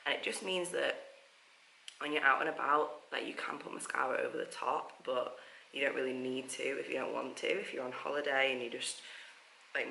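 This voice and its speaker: A young woman chatting casually to camera as a travel vlogger, croaky from just waking up, rambling and giggly. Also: rumbling low, sharp tone, reverberant, slight background noise, low-quality recording.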